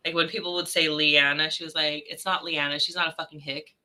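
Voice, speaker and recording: A woman's voice: low voice, little pitch movement, brisk, pushed and bright, slight background noise. A young woman from California co-hosting a podcast, chatting casually and telling stories with plenty of swearing. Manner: storytelling, swears casually, mimics others in quotes. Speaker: podcast co-host. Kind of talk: casual chat.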